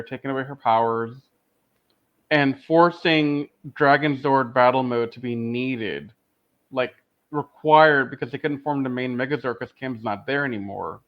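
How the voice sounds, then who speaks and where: A man speaking emphatically and enthusiastically as a panelist in a casual podcast review discussion. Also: medium pitch. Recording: dull, lo-fi microphone audio.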